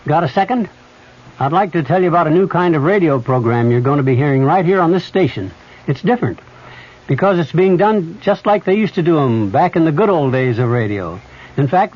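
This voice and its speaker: Folksy promo voice, slightly high, muffled vintage radio. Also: male voice. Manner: folksy, chatty salesman tone. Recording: muffled old radio broadcast.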